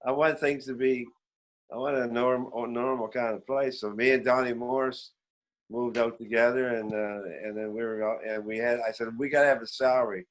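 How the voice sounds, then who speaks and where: A man's voice: mid-range voice, moderate pace, rough recording. Older Canadian man reminiscing casually. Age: 70s. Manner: rambling, animated storytelling. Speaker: veteran musician, interview guest. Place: remote online call.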